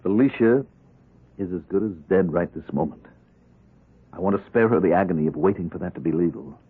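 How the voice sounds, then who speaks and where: A man's voice: low voice, lively intonation, brisk, slightly rough. An actor playing a commanding, wealthy man devoted to his dying wife, speaking with earnest intensity in an old radio drama. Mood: resolute. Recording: muffled, band-limited vintage radio recording.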